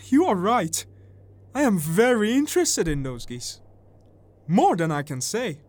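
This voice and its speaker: A woman's voice: deep voice, lively intonation, takes it slowly, no room sound, exaggerated voice. A woman voicing a weak, nervous little man in a dramatised audiobook, plaintive and easily agitated. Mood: excited.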